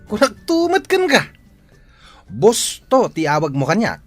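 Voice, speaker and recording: A man's voice: sits high, wildly swooping pitch, slow pace, faint music behind, exaggerated voice. A man with an Ilocano accent playing a pushy, boastful troublemaker in an audio drama, taunting and insulting. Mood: cocky, mocking. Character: brash male troublemaker, mocking, pushy and boastful.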